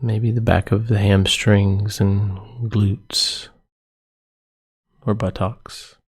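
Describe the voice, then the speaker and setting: A man leading a guided meditation as a yoga nidra teacher, giving gentle, soothing, unhurried instructions with pauses between phrases. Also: lowish voice, level tone, breathy edge.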